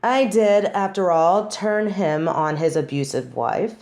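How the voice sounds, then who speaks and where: A woman's voice: lowish voice, slow pace, a little mellow. A woman playing a snobby, haughty fallen angel who is secretly devoted, speaking wry, formal lines in an intimate audio roleplay. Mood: wry, reminiscing. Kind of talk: scripted audio roleplay, intimate monologue to one listener.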